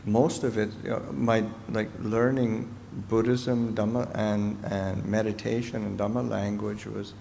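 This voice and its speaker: A middle-aged Canadian-raised monk answers questions slowly and thoughtfully, pausing often. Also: male voice, fairly low pitch, flat delivery, vocal fry, mellow. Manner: slow, halting, thoughtful speech with pauses. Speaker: Buddhist monk and teacher. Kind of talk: Dharma talk Q&A answer. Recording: reverberant hall with faint noise.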